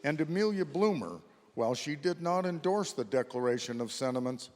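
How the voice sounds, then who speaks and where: A man's voice: fairly high pitch, animated, a little fast, a little mellow. A man reading scripted historical narration as the narrator of a staged readers theater. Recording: reverberant hall.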